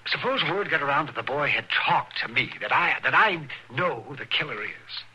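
A man playing a wry, folksy frontier town doctor in a 1950s radio western, with dry and halting speech. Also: a little high, lively intonation, pushed and bright, slight background noise, low-quality recording. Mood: grave. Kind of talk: 1950s radio drama acting.

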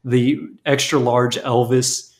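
A man co-hosting a podcast in casual chat, telling stories in a relaxed way with many fillers. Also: mid-range voice, slow pace, background noise. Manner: relaxed, filler-heavy storytelling. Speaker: podcast co-host.

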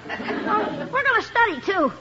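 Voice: very high-pitched, sing-song, a little slow, crowd noise, heavily acted. An actor playing a brash, bossy, slangy young schoolboy in a 1950s radio comedy. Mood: eager, carefree. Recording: muffled, noisy 1950s radio recording.

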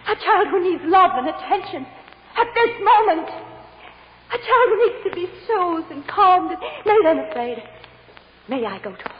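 High-pitched anguished mother, old radio. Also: expressive, normal speed. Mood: pleading anguish. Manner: emotional, imploring, breaking into sobs. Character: anguished, pleading mother on trial, desperately devoted. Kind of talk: 1940s radio melodrama acting. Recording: muffled old radio recording, reverberant, hissy.

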